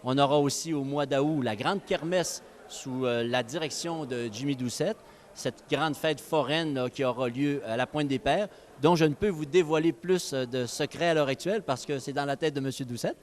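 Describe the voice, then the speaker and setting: A man with a Québécois French accent delivers a formal public announcement as a local official. He speaks at an ordinary pitch and stumbles often, filling gaps with hesitant sounds. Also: normal speed. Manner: hesitant, many fillers. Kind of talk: press announcement.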